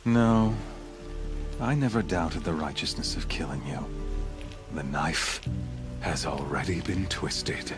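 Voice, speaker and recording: Male game villain, very deep, menacing, fanatical, solemn, music behind. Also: takes it slowly, pauses often. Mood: cold menace. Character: menacing, fanatical male villain, self-righteous sun champion.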